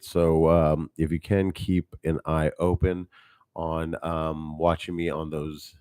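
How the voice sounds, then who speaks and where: Low-voiced man hosting podcast. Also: mellow, no echo, clean studio sound. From Texas. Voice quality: nasal at times. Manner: rambling, chatty, talks to viewers. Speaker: podcast host. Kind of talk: live podcast chat. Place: home studio. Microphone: studio mic on a mixing board.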